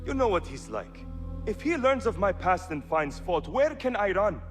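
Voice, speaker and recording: A high-voiced, fearful villain general. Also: male voice, big pitch swings, moderate pace, faint music behind. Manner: nervous, fretful. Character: anxious, fearful, cowardly male villain general. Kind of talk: video game cutscene acting.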